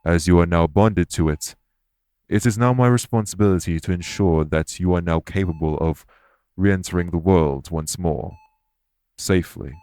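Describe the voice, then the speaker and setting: An actor playing a courteous, remorseful immortal elven king in an audio roleplay, speaking in formal, measured phrases. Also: sits low, dark tone, smooth, no room sound, natural voice. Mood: calm, earnest. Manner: formal, measured, stately wording. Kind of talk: scripted audio roleplay acting.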